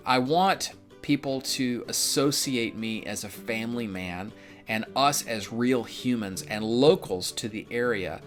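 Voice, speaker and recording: A man with a mid-pitched voice talks in a casual, instructional style. He is from Memphis and explains a script conversationally, point by point. Soft guitar music plays faintly behind him. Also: unhurried. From Memphis, United States. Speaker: real estate investor and instructor.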